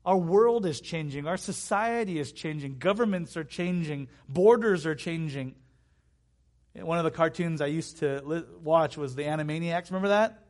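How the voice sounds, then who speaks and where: A man's voice: on the high side, moderate pace, darkish tone. A man in his thirties preaching a sermon in an emphatic way, repeating key phrases and slipping into conversational asides. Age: 30s.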